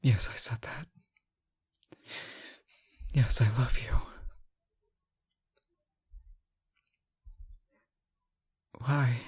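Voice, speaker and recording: A man playing a lovesick, anguished captive ruler in a fantasy romance audio drama, halting and often dropping toward a whisper. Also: medium pitch, dark tone, breathy edge. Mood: confessing, resigned. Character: captive reckless but benevolent male ruler, lovesick and anguished. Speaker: audio roleplay performer.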